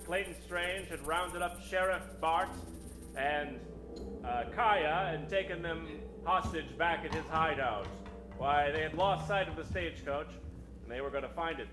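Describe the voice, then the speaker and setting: A man hosts and narrates in old-time radio style. His voice is a little high and theatrical as he tells the tale. The room echoes and music plays clearly behind him. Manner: theatrical storytelling. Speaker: radio show host and narrator.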